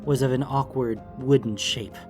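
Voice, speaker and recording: A man giving measured, theatrical narration of a fantasy audiobook. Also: mid-range voice, steady tone, over music.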